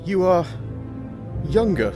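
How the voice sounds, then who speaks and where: An actor playing an earnest, protective young English gentleman, formal and sombre, in an audio drama. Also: sits high, sing-song, slow, over music, heavily acted. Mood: shocked. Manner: formal, old-fashioned, sombre. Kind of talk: theatrical audio drama acting with narration.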